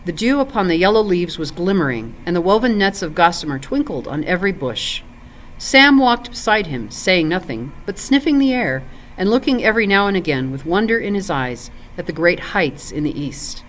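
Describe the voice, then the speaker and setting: A woman reading a story aloud for families in an expressive, clearly phrased storytelling style. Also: lowish voice, normal speed, a little hiss or hum, low-quality recording. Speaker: volunteer story reader and ESL teacher.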